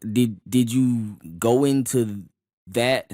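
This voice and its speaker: A man in his thirties co-hosting a podcast, talking in a relaxed, halting way and often agreeing. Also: mid-range voice, no echo, clean studio sound. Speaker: podcast co-host. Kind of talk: casual podcast chat.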